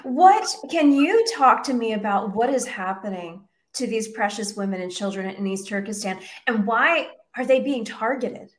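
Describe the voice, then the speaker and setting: A woman's voice: on the high side, lively intonation, normal speed, hardly any pauses. A woman hosting a talk show and interviewing a guest, speaking emphatically and repeating her questions.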